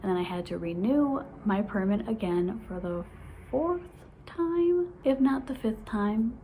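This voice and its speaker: A woman in her twenties who has a stutter, excitedly chatting to her viewers in a casual vlog. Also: medium pitch, measured pace, a little hiss or hum, low-quality recording. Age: 20s. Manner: stutters, with repeated sounds and restarts; excited, laughs often. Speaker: vlogger.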